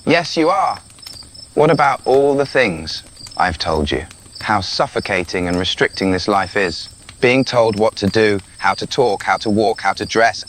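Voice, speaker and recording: Guy acting a young british prince, lowish voice, sardonic and restless, talks close and personal, faint crickets behind. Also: a bit bright, a little hiss or hum. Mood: incredulous, scornful, then bitter, hurt. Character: restless, rebellious young prince, pleading and bitter. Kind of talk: scripted audio roleplay addressed to the listener.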